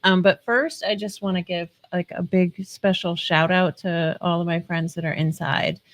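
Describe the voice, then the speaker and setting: A woman guest on a talk show sharing her own story earnestly, pausing often on "um". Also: on the low side, steady tone. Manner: earnest, with "um" pauses. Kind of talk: personal sharing.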